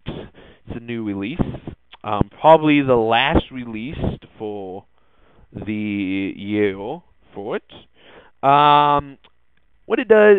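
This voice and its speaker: A man hosts a casual tech podcast at an unhurried, halting pace, often pausing on ums and restarting phrases. His voice is smooth and clear of rasp. The sound is dry, narrow and muffled, like a phone call. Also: mid-range voice, no echo. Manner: hesitant, halting, frequent ums and false starts. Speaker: podcast host.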